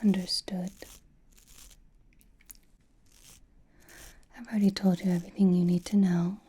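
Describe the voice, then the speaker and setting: A woman voicing a flirtatious, teasing, possessive vampire in a soft ASMR roleplay, whispering breathily close to the microphone. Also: on the low side, little pitch movement, breathy edge. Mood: guarded, coaxing. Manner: slow, soothing, coy teasing.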